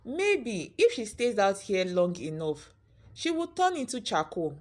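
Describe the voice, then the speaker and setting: A woman's voice: medium pitch, big pitch swings, unhurried. A woman voicing a mocking young village maiden who jeers and snickers in a folktale. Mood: jeering.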